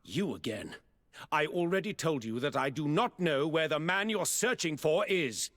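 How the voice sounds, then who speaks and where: A man's voice: on the high side, expressive, moderate pace, a bit bright, big-room sound. A man playing an irritable, evasive merchant in a video game, curt and defensive. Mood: defensive, harried. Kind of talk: video game dialogue acting.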